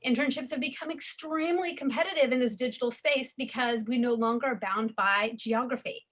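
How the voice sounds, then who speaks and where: A woman's voice: on the high side, a little fast, fairly bright tone. A woman hosting an interview about a college media program, speaking in an enthusiastic, promotional way. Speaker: program host.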